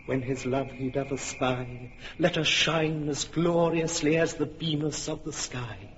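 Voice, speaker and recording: An actor playing a stately, scheming fairy king in a classic radio drama, speaking verse in a measured way with sharp bursts of command. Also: on the high side, highs cut off, natural voice. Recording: roomy reverberant sound with room noise, from a distance.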